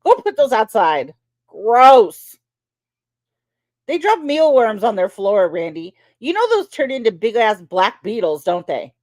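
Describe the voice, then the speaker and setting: A woman's voice: on the high side, very animated, unhurried, dry recording. Sarcastic, squeamish woman streamer. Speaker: livestream co-host. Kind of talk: casual livestream banter.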